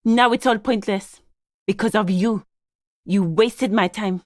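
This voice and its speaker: A woman's voice: mid-range voice, measured pace, no echo, studio quality, close to an everyday voice. A woman playing a stern, guarded lawyer for a gang in a video game, with a formal, clipped, authoritative manner. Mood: angry, commanding. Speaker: video game character voice actor. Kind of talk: scripted game dialogue.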